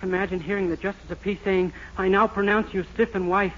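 Through a muffled, noisy 1940s radio recording comes a naive young bridegroom played by a man. His voice is high and quick, with an earnest, plaintive, literal-minded manner that makes him sound mild and easily flustered. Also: level tone, lightly acted. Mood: mournful. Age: young adult. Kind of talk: 1940s radio situation comedy acting.

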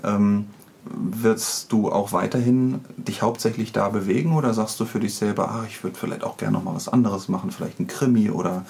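A man's voice: fairly low pitch, fairly even intonation, fairly quick, gravelly, darkish tone. A man hosting a podcast, asking interview questions in a casual, conversational way.

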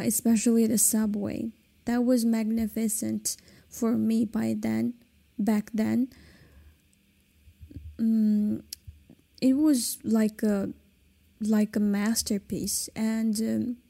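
A woman's voice: fairly high pitch, dark tone. A woman in her late twenties from China, a native Kazakh speaker, hosting a casual solo podcast in English and talking hesitantly with many fillers and restarts. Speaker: podcast host.

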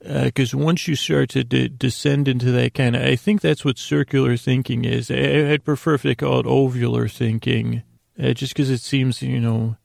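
A man with a very creaky, gravelly voice hosting a bedtime podcast, rambling haltingly and interrupting himself as he drifts into tangents. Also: mid-range voice, little pitch movement, darkish tone, dry recording, clean studio sound. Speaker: podcast host.

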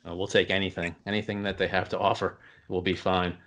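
A man's voice: sits low, flat delivery, quick pace, fairly bright tone. A man hosting a casual interview in a conversational style. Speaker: interview host.

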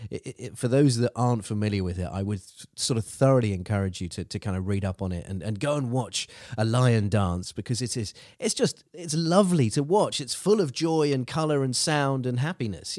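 A man's voice: medium pitch, a little mellow, dry recording, studio quality. A man from the United Kingdom hosting a podcast interview, eager and rambling with many restarts. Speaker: podcast host.

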